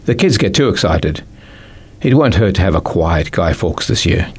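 A man's voice: sits low, big pitch swings, moderate pace, slightly rough, exaggerated voice. A man voicing a practical, slightly weary mother in a children's story. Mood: matter-of-fact. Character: practical, firm, weary mother of young boys.

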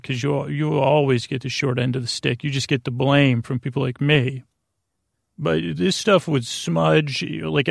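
A middle-aged American man with a creaky, gravelly voice, hosting a sleep podcast in a meandering, halting, whimsical monologue. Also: medium pitch, dry recording, clean studio sound. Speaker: podcast host. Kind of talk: rambling bedtime monologue to help listeners sleep.

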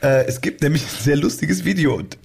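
A man's voice: a little high, expressive, a little fast, gravelly, a little mellow, slightly rough. A man hosting a casual comedic podcast, telling things in a playful, digressive way. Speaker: podcast host.

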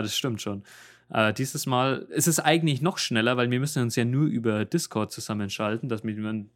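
Easygoing Franconian man, young. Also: medium pitch. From Franconia, Germany. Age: young adult. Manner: easygoing, laughing, a little hesitant with fillers. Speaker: podcast co-host, language student. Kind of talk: casual podcast chat over video call. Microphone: home mic through an audio interface over video call.

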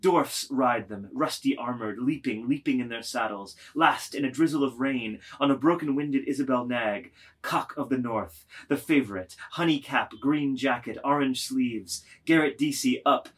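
A man narrating a literary work dramatically, deliberate and declamatory, phrase by phrase. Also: medium pitch, fairly bright tone.

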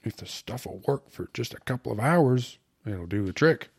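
A man's voice: mid-range voice, animated, normal speed, vocal fry, a little mellow, breathy edge, pitched above the performer's own voice. A man voicing a cheerful, cocky young suitor who talks in eager, colloquial slang for an audiobook. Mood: scheming, confident. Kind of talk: audiobook character voice.

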